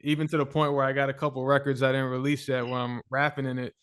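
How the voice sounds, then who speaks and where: A man's voice: medium pitch, little pitch movement, fast, fairly bright tone, clean tone. A man speaking as a podcast guest, laid-back and candid in casual talk. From United States. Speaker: guest musician.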